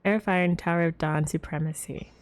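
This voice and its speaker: A woman's voice: on the low side, steady tone, fast talker. A woman livestreaming a game and chatting casually with viewers in a rambling, giggly way. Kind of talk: casual chat with stream viewers. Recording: game music behind the voice.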